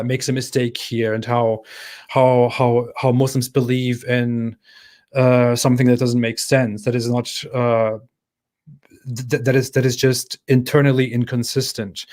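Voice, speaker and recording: Halting man answering live chat. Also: medium pitch, a little mellow. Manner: halting, thinks aloud, reads viewer comments out and replies. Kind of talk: casual livestream Q&A.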